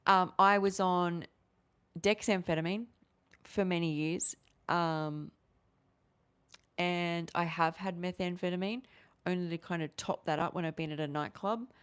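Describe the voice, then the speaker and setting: A woman in her forties hosting a casual livestream, talking in a rambling, animated way with many restarts. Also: lowish voice, unhurried, long pauses, smooth. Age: 40s. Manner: rambling, animated, restarts often, gestures with hands. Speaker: livestream host. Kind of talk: casual livestream chat answering viewers.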